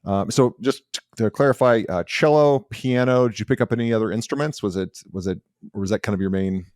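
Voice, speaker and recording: A man's voice: lowish voice, darkish tone, no room sound, studio quality. Enthusiastic male podcast host. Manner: enthusiastic, quick affirmations. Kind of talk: casual interview hosting.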